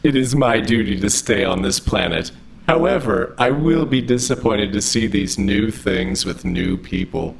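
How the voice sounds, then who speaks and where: A man's voice: on the low side, lively intonation, a little slow, gravelly, a little hiss or hum, poor audio. A man playing an overly friendly, earnest robot who speaks in formal, literal, unfailingly polite phrases in an audio drama. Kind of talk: scripted audio drama acting.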